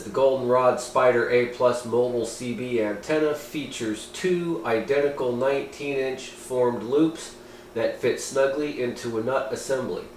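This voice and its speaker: A man giving a casual, folksy hobbyist product review, sometimes reading aloud in an informal way. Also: mid-range voice. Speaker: amateur radio hobbyist reviewing gear. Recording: roomy echo, steady background noise.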